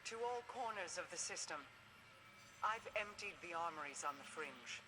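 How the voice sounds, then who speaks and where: An actor playing a solemn, regal ruler with a remorseful temper, speaking formally and deliberately in a video game cutscene. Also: male voice, fairly high pitch, lively intonation, background noise, lightly acted.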